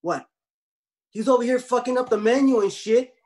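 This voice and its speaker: An actor playing a blunt, streetwise young taco cook who talks in slang, in a table read. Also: male voice, very high-pitched, normal speed, a little mellow. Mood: indignant. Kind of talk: casual dramatic table read. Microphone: video call.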